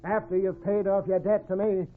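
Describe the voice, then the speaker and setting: A man's voice: high-pitched, little pitch movement, moderate pace, natural voice. A man playing a domineering, greedy wagon boss with folksy Western speech in a 1940s radio western. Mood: hard, scheming. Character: bullying, greedy male wagon boss after gold. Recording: tinny, band-limited old radio recording.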